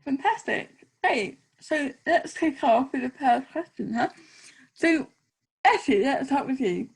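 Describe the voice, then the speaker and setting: A woman's voice: sits high, expressive, a little slow, vocal fry, rough recording. A woman hosting a panel webinar over a video call, upbeat, thanking each speaker and handing over. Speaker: webinar host.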